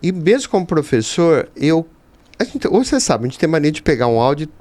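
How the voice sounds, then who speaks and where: A man's voice: a little high, sing-song, a little fast, a little mellow. A Brazilian man in his fifties answering conversationally as a podcast guest, explaining things emphatically with rhetorical asides. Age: 50s. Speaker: podcast guest, teacher and writer.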